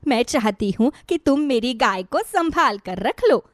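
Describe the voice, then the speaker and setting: Woman acting a high-voiced, pleading neighbour. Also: expressive, unhurried, no echo, studio quality. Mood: worried pleading. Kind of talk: moral-story dialogue.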